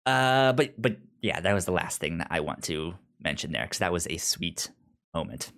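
A man co-hosting a podcast in casual discussion, halting and often stammering over repeated words. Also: sits low, animated, creaky, a bit bright. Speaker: podcast co-host. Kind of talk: casual comic book discussion.

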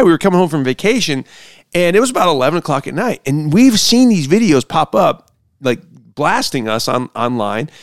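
Man, pastor hosting a talk show, casual animated storytelling, slightly high voice, dry close sound. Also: dry recording. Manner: animated, acts out quotes mockingly.

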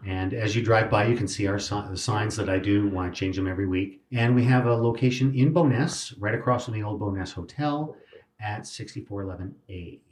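A man, a shop owner and podcast guest, giving slow, halting explanations full of fillers. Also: fairly low pitch. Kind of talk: casual podcast chat. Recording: echoey room with faint background noise.

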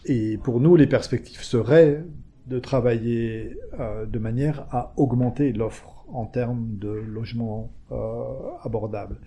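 A man's voice: medium pitch, mellow. A man answering interview questions as a community organization manager, hesitant, with frequent fillers, restarts and tag words. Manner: tags phrases with "hein".

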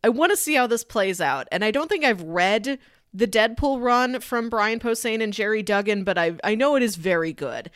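A woman's voice: on the high side, expressive, normal speed, no room sound, studio quality. A woman co-hosting a podcast, chatting casually about comics and films. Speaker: podcast co-host.

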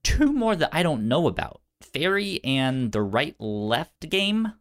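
A man's voice: fairly high pitch, very animated, slow. A man co-hosting a podcast, chatting casually with stammered, repeated starts. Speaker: podcast co-host.

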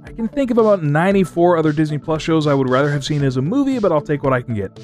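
Guy reading scripted movie and game news, slightly high voice, music behind him. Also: expressive, racing, darkish tone. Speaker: host of an entertainment news segment.

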